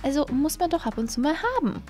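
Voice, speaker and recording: High-voiced young woman chatting over gameplay. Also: fast, mellow. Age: young adult. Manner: chatty, enthusiastic, addresses viewers directly. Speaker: host of gaming videos. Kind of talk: casual gameplay commentary. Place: small indoor streaming room at home. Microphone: close desk microphone on arm. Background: music.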